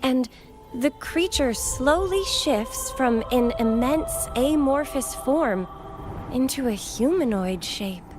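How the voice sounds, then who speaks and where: A woman voicing a gentle, earnest young acolyte in a video game, speaking sweetly and carefully with some hesitation. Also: high voice, animated, slow pace, music in the background. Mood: careful, hesitant.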